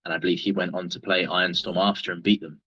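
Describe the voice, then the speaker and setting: A man's voice: sits low, level tone, fast talker, sharp tone, husky. An English man speaking as a guest on a show, easygoing and hesitant, with lots of ums. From England. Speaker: guest player. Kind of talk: casual interview chat. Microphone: voice call. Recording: dull lo-fi online call audio.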